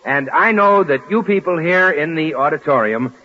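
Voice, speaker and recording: Higher-pitched American radio announcer, a man. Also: animated, normal speed. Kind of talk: 1940s radio announcing, formal war bond appeal. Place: auditorium stage. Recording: muffled, hissy 1940s radio broadcast.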